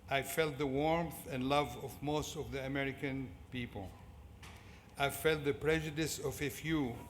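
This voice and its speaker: A middle-aged man from Syria with a Syrian Arabic accent, giving a prepared motivational commencement speech in deliberate short phrases. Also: mid-range voice. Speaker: commencement speaker. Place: large indoor fieldhouse at a ceremony. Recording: echoey fieldhouse PA with clear background noise.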